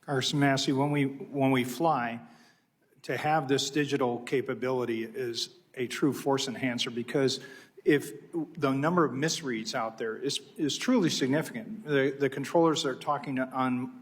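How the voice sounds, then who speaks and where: A man's voice: mid-range voice, husky. A man speaking as a pilot witness in unscripted formal testimony, explaining his points with emphasis.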